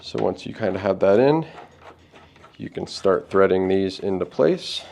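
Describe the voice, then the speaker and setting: A man's voice: low-pitched, lively intonation, unhurried, vocal fry, darkish tone, a little hiss or hum. A man hosting an unboxing, giving casual, plain-spoken step-by-step setup instructions. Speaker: product unboxing host.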